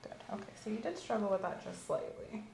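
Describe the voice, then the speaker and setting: A woman hosting an ASMR physical exam roleplay, giving calm, step-by-step instructions in a soft voice. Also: medium pitch, fast talker, vocal fry. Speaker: ASMR host performing an exam. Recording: roomy with faint hiss.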